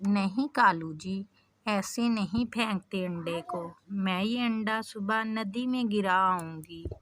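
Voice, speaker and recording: A woman voicing a scheming, self-serving female crow in a children's cartoon, with a deliberate delivery. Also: mid-range voice, slight background noise, natural voice. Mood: concerned. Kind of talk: Hindi children's moral cartoon acting.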